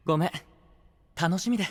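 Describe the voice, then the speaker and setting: A man's voice: high-pitched, a bit bright, big-room sound, exaggerated voice. An actor playing a kind, encouraging youthful male friend with a reassuring manner in an anime-style voice drama.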